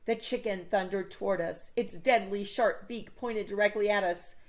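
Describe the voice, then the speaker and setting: A woman reading a children's chapter book aloud in an expressive teacher's style. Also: medium pitch, moderate pace.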